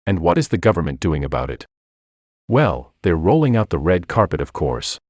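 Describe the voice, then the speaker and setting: A slick television news anchor with a deep voice, played by a man. He presents with a highly animated, bombastic swing and an alarmist edge, in a dry studio-close sound. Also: moderate pace, no room sound. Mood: alarmist, scornful.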